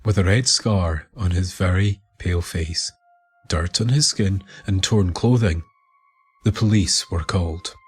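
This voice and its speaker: A man narrating a scripted documentary story in a measured, deliberate manner. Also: low voice, breathy edge. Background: music.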